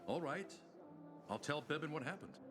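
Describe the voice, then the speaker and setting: A man's voice: on the high side, animated, normal speed, natural voice. An actor voicing a nervous, shifty male merchant who pleads and dodges in a flustered way, in video game dialogue. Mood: pleading. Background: music.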